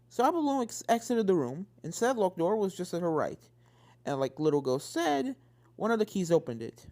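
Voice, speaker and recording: A woman's voice: lowish voice, a little mellow. A woman reading a scripted fan fiction story as its narrator. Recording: background music under the voice. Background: game soundtrack music.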